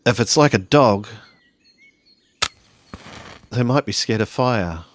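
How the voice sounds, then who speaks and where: A man playing a tabletop roleplaying game, narrating his character's actions haltingly as he searches for words. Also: medium pitch, expressive, slight background noise.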